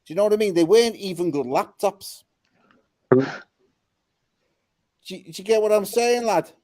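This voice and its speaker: A cocky Scouse wide boy, as a man puts him on swaggers through his talk, calling everyone lad and mate. His voice sits high and leaps about all over the place. He is a foul-mouthed chancer who loves baiting the police. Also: expressive, normal speed, mellow. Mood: swaggering rant. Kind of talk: comic put-on character on a live chat.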